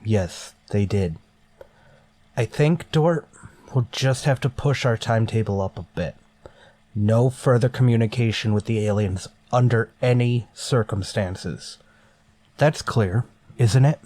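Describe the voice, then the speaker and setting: An actor playing a stern, weary starship captain in a sci-fi radio drama, clipped and authoritative. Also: male voice, on the low side, a little slow, mellow. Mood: dry, controlled.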